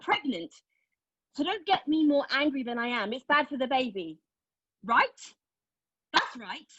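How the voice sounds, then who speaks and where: A husky-voiced, anguished woman, pregnant and bitterly sardonic, acted by a woman at a play reading. She speaks her monologue with raw, deliberate intensity at a middle pitch. The recording is dry and slightly dull. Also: expressive, a bit bright, dry recording, full character voice. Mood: angry, defiant. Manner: intense, deliberate, emotionally exposed delivery. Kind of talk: dramatic monologue at a table read.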